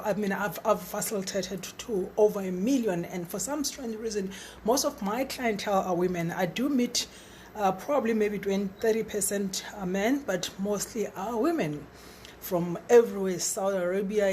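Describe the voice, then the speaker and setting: A middle-aged woman with a husky voice giving an eager, encouraging webinar talk as a trainer, stumbling and repeating words now and then. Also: medium pitch, darkish tone, a little hiss or hum. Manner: stutters at times, repeats words; enthusiastic, encouraging. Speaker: facilitator and trainer.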